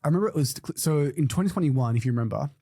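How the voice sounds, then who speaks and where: A man's voice: a little high, expressive, racing, mellow. A man hosting a podcast, asking thoughtful questions. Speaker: podcast host, fitness business consultant. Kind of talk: conversational podcast interview.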